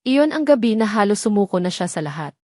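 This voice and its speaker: A woman voicing a kindly, sympathetic elderly head nurse in an audio drama, soft-spoken and confiding. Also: medium pitch, very animated, fast, no echo, studio quality, close to an everyday voice. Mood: hushed, sorrowful. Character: gentle elderly female head nurse, sympathetic, near retirement.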